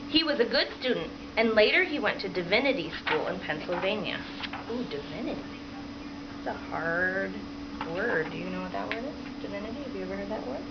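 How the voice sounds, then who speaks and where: A woman primary school teacher reading a picture book aloud to children and pausing to prompt them with questions. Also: medium pitch. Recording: dull lo-fi sound with clear background noise.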